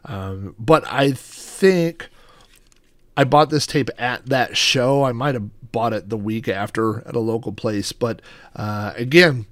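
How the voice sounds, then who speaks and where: A man's voice: mid-range voice, takes it slowly, dry recording, clean studio sound. A middle-aged American man hosting a casual show-and-tell, reminiscing in a rambling, anecdotal way. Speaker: host of a personal collection show.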